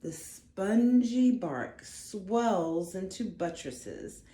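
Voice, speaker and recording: A woman reading a picture book aloud to children, with expressive, deliberate emphasis on the words. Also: medium pitch, reverberant. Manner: dramatic, deliberate emphasis for young listeners. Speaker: storybook reader for children.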